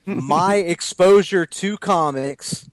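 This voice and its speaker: A man who grew up in Japan, a guest on a podcast, chatting in a friendly, halting way with many restarts. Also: high-pitched, slight background noise. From Japan (grew up on US bases there). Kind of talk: casual podcast chat.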